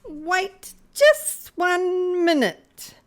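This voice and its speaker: A woman's voice: very high-pitched, big pitch swings, extremely slow, heavily acted. A woman playing a stern, officious space station controller in a radio play. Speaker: amateur radio play actor. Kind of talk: radio drama acting.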